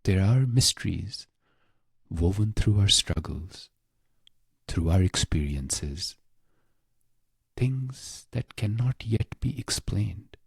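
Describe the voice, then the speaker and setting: Male meditation narrator, unhurried and very breathy, husky, close and dry sound, scripted short phrases. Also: expressive, no room sound. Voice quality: low, breathy, husky voice with creak. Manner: hushed, unhurried delivery in short phrases with long pauses. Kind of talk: slow, scripted guided meditation.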